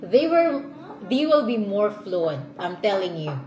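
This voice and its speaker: A woman's voice: medium pitch, very animated, a little slow, a crowd in the background. A young woman with a Filipino accent, a native Bisaya speaker, chatting casually at home for her family vlog. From Philippines. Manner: chatty, switches between English and Filipino. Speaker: vlogger. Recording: echoey room.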